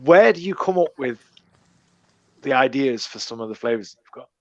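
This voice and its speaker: A man co-hosting a podcast, chiming in enthusiastically with quick remarks. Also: medium pitch, lively intonation, no room sound, lo-fi sound. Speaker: podcast co-host. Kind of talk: casual podcast chat.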